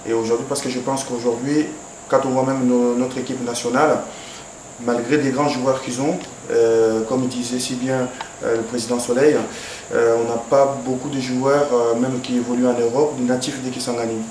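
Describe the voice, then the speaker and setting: A man's voice: medium pitch, little pitch movement, moderate pace, a little mellow. A man, a former football player, giving a formal, hesitant address to a governor, with many fillers and restarts. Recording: echoey room, background noise.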